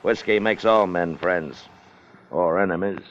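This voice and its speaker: An actor playing a ruthless, brazen sailor in a 1950s radio drama, with a cool, insolent, clipped manner. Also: male voice, low-pitched, measured pace, a bit bright. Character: ruthless, brazen murderous sailor turned first mate. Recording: muffled 1950 radio broadcast with music.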